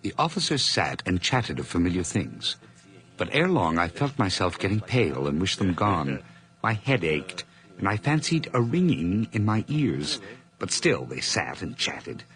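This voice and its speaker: A man's voice: deep voice, big pitch swings, measured pace, fairly bright tone, exaggerated voice. An actor playing a nervous, overly eager hired man who stammers through forced cheer in a radio drama. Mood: narrating. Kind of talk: 1980s radio drama acting.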